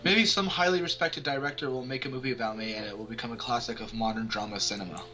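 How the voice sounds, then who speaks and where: A man's voice: medium pitch, fast talker. A man casually reading a visual novel's narration aloud as an amateur let's-player. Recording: music behind the voice. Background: game music.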